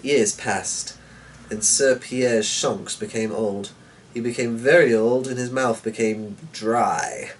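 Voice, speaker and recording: A man with a mid-pitched voice narrates a story in a dramatic reading style. He sounds a little away from the mic, with clear noise in the background. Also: fairly even intonation, slow pace, far from the mic, poor audio. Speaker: story reader.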